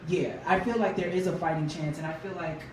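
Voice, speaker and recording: A man with a high voice, a guest singer talking casually in a radio interview. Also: brisk. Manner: relaxed, chatty, laughs easily. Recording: roomy, distant mic, faint noise.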